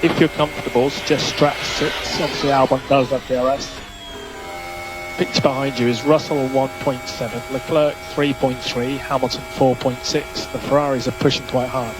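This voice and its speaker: A man working as a race engineer, giving measured, clipped instructions over team radio and repeating key calls. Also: medium pitch, fairly even intonation. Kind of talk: instructional radio messages to a racing driver. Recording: noisy motor racing team radio.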